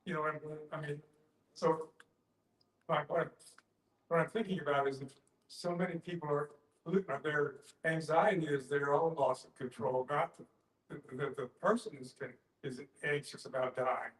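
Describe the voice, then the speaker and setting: A man with a somewhat high voice talks in a very echoey room. He shares reflections and personal stories in a group discussion, feeling his way through sentences and often starting them over. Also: fairly even intonation, moderate pace.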